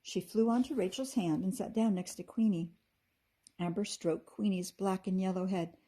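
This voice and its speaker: A woman with a husky voice reading a children's story aloud expressively, like a teacher to her class. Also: mid-range voice, fairly even intonation, moderate pace, dark tone.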